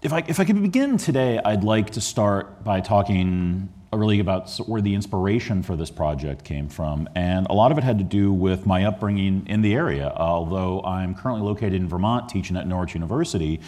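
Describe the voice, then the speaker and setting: A man with a very deep voice lectures on his history book in a museum hall. He talks earnestly and often stalls on ums and restarts, and he comes from the Chicago area. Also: animated, fairly quick, few pauses, mellow. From Chicago area, USA. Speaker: historian giving a book talk.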